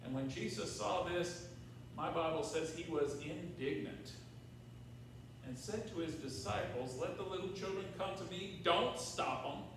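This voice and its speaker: An older American man preaching a sermon as a pastor, conversational, with repeated phrases and emphatic pauses. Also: fairly high pitch. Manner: conversational, rhetorical questions with check-ins to congregation. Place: large church sanctuary, at pulpit. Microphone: far camera in the room. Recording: very echoey church, distant mic, faint noise.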